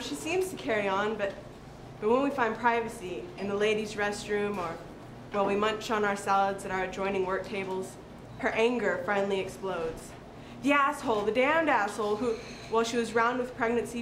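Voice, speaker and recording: A woman giving a dramatic recitation of a short story to listeners. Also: medium pitch. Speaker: reader at a literary recitation. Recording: echoey room with clear background noise.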